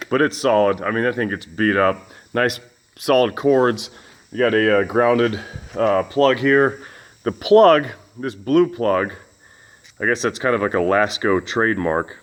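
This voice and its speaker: Man, lowish voice, loud, folksy, rambling with fillers, home gym review. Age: adult with children. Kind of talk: casual product review vlog.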